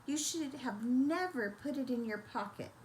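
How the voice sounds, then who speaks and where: A woman's voice: high-pitched, expressive, moderate pace, a little hiss or hum, voice pushed up, poor audio. A woman voicing a storybook mother who scolds her foolish son in an exasperated, emphatic way. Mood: scolding.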